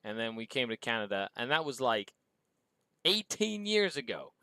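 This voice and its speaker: A man's voice: mid-range voice, very animated, normal speed, sharp tone, smooth, no echo. A man from Mexico with an English accent, hosting a livestream and reading out viewer questions in an upbeat way before answering them. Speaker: show host.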